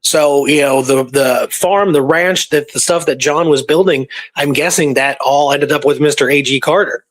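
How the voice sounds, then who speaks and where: A man's voice: a little high, normal speed, few pauses. A man hosting a history podcast, conversational and speculative, reading from old records and often checking in with his listener. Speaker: podcast host presenting historical research.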